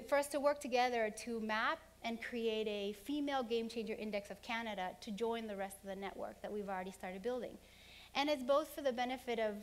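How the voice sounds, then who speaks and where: A middle-aged woman who grew up in Honduras, giving an earnest conference keynote and running through examples in quick lists. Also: a little high. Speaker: keynote speaker. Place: conference hall.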